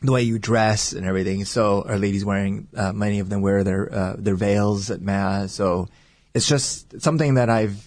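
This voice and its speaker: A man who is a priest, talking earnestly and reflectively in an interview and sometimes pausing to search for words. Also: fairly low pitch, steady tone, darkish tone, no room sound. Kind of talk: casual interview talk.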